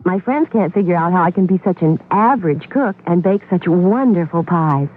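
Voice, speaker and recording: A woman's voice: lowish voice, animated, moderate pace. A woman giving a chatty, homely scripted testimonial in a radio commercial. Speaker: commercial spokeswoman. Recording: dull lo-fi radio recording.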